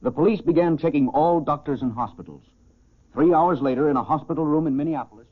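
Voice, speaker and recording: An actor playing a formal police chief who narrates a case in a scripted 1940s radio style. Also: male voice, on the high side, fast, natural voice. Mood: measured. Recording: tinny, band-limited 1940s radio recording.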